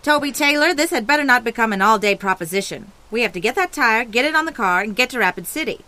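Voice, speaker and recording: An exasperated young woman as the long-suffering sister in a radio serial. Her delivery is bright and forceful, animated and thick with sarcasm. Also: a little high, fast. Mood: impatient. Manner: sarcastic, impatient. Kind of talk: radio comedy mystery acting.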